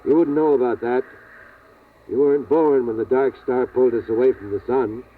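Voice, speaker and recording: A man's voice: mid-range voice, steady tone, natural voice. Man playing a grave father, tinny vintage radio. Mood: wistful, firm. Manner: measured, grave, halting reassurance. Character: protective, weary father of a family surviving catastrophe. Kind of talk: 1950s radio drama acting.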